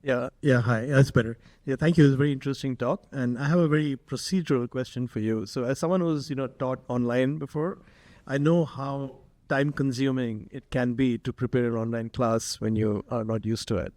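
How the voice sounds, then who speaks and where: A man's voice: medium pitch, fairly even intonation, fairly quick, darkish tone, no echo. A man in the audience politely asking a direct question after a talk.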